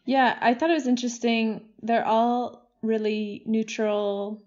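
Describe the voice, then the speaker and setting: A woman co-hosting a podcast in a relaxed, measured casual chat. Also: fairly high pitch, highs cut off. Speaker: podcast co-host.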